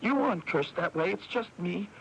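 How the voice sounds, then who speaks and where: An actor playing a tormented, guilt-ridden son with a fierce temper, halting and repeating himself in a radio drama. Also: male voice, high voice, animated, creaky. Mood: tormented, despairing. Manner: agitated, repetitive, halting, accusatory. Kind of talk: 1980s radio drama acting. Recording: noisy old radio broadcast recording.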